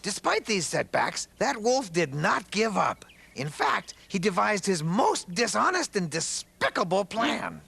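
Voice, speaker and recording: Casting: pompous puppet-comedy narrator creature, forceful creaky voice, very animated, proper and showy. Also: male voice, high-pitched, unhurried, few pauses, slight background noise, exaggerated voice. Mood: grand, narrating. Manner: florid, proper, showy storytelling. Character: prim, theatrical male creature storyteller, cheerfully pompous.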